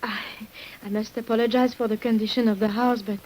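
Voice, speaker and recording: An actress playing a weary, secretive French nurse in an old radio drama, speaking formally and hesitantly with breathy sighs. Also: female voice, a little high, level tone, brisk, darkish tone, natural voice, poor audio. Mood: weary, apologetic. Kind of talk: 1950s radio drama acting. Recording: hissy old radio recording.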